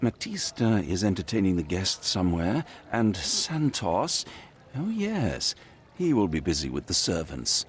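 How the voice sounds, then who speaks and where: A man's voice: deep voice, huge pitch swings, measured pace, slight background noise, heavily acted. An actor playing a flamboyant, flirtatious male host of a masked party, speaking with theatrical swoops. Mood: flirty. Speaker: masquerade host.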